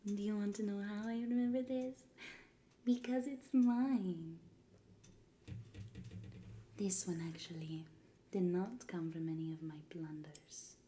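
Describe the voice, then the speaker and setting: A woman playing a mocking, menacing pirate queen in a soft-spoken ASMR roleplay, breathy and often whispering close to the mic, drawing out and repeating her words. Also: medium pitch, fairly even intonation, slight background noise, close to an everyday voice. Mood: possessive. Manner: slow, drawn-out, repeating words, theatrical. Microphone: close ASMR microphone.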